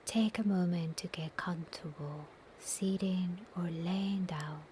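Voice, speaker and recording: Soft, very slow woman guiding meditation. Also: on the low side, slight background noise. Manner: soft, breathy, near-whispered, soothing delivery with long pauses. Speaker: guided meditation instructor. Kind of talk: guided meditation, slow scripted instruction. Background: soft nature ambience.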